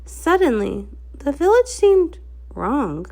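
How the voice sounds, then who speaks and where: A woman storybook narrator with a high voice. She reads in a dramatic, theatrical first-person style, her pitch rising and falling widely. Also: slow pace, gravelly. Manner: theatrical, expressive. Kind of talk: dramatic first-person comic read-along.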